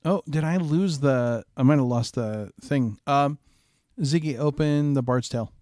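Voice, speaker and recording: A man's voice: mid-range voice, measured pace, mellow, dry recording. A man hosting a casual playthrough, giving short voice commands and remarks. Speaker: show host playing a voice game. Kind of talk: casual spoken game playthrough.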